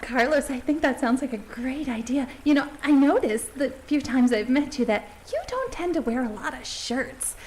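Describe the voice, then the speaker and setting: A woman's voice: sits high, normal speed, few pauses, darkish tone, a little hiss or hum, natural voice. A woman playing a polite, chatty, slightly bemused host who interviews townsfolk for a comic mockumentary. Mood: admiring, flirty. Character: polite, admiring small-town documentary interviewer.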